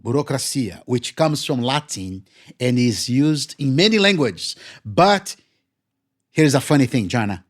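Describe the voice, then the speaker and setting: A man's voice: mid-range voice, big pitch swings, a little slow. Playful man with a Portuguese-language accent. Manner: playful, punny, eager affirmations. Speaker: podcast co-host. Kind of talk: casual chat.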